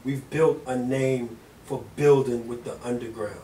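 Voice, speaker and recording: A man's voice: medium pitch, steady tone, measured pace, warm tone, reverberant, recorded from a distance, a little hiss or hum, poor audio. A man hosting a hip-hop magazine interview, asking questions in an upbeat, hype style. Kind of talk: casual interview.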